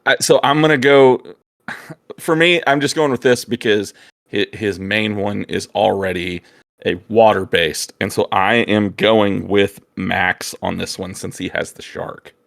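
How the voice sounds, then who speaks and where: A man's voice: fairly low pitch, steady tone, unhurried, vocal fry, a bit bright, no room sound, studio quality. A man in a casual chat, talking hesitantly as he thinks aloud. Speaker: guest co-host.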